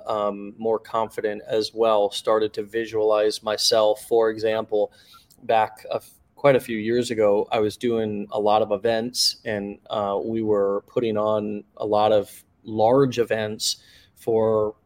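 Male host, lowish voice; measured, reflective storyteller with plenty of ums. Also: darkish tone. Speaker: podcast host. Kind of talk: casual podcast conversation.

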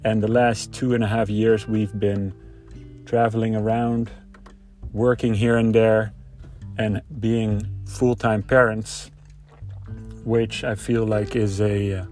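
Man, 30s, low-pitched, flat, hesitant fillers. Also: slow pace, pauses often, faint music behind. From Netherlands. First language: Dutch. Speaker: entrepreneur introducing himself. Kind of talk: personal self-introduction.

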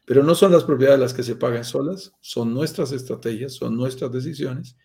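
A Colombian man hosting a live stream, pitching property investment in an upbeat sales manner with frequent fillers. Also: normal speed, gravelly, dark tone. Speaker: host, sales director of a property firm.

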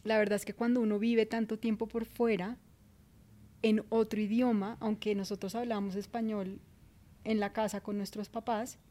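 A young woman, co-hosting a casual podcast and calmly explaining her point of view. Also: medium pitch, normal speed. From Colombia. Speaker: podcast co-host. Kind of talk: casual chat.

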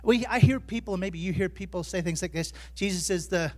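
Man, Irish accent, pastor, high-pitched, casual sermon, self-deprecating humor. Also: brisk, darkish tone. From Ireland. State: jet lagged. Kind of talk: sermon with personal stories.

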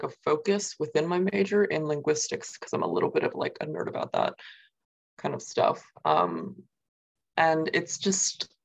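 A woman's voice: deep voice, creaky, lo-fi sound. A young woman from New York, talking casually as an interview guest and filling her speech with "like" and "um". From New York, USA. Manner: rambling, many fillers and "like". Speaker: guest poet.

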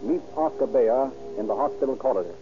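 A man's voice: medium pitch, lively intonation, brisk, over music. A man narrating a 1930s radio crime drama with urgent, dramatic delivery. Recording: muffled old radio broadcast with loud hiss.

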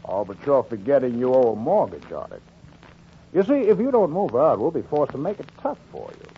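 A man's voice: medium pitch, very animated, fairly quick, slight background noise. A man playing a threatening, domineering bank president in a blustering, oratorical 1940s radio style. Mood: stern, threatening. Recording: muffled 1944 radio drama recording.

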